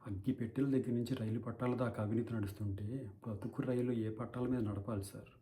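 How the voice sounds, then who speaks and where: Casting: male actor as righteous, anguished crusader before a judge, dark warm timbre, mid-pitched, declamatory courtroom drama delivery. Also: steady tone, quick pace, natural voice. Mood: pained, indignant. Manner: impassioned, declamatory, rhetorical. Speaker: actor performing a film courtroom monologue. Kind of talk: dramatic theatrical courtroom monologue.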